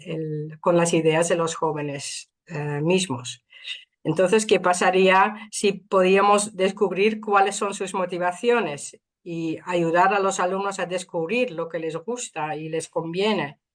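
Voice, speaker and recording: Dutch woman giving a halting lecture. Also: on the low side, steady tone. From Netherlands. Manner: careful, halting, with frequent fillers. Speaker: invited speaker. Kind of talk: academic talk.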